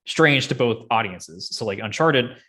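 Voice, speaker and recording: A man's voice: medium pitch, lively intonation, unhurried, a bit bright. A man co-hosting a podcast, chatting casually about entertainment with joking, sardonic asides. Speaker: podcast co-host. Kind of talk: casual movie discussion.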